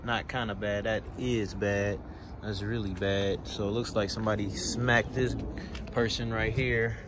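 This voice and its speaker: A man's voice: fairly low pitch, little pitch movement, measured pace. Man, car vlogger, joking and chatty, phone audio with lot noise outdoors. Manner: teasing, chatty, addresses viewers as y'all. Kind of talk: casual walkaround commentary. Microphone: handheld phone camera.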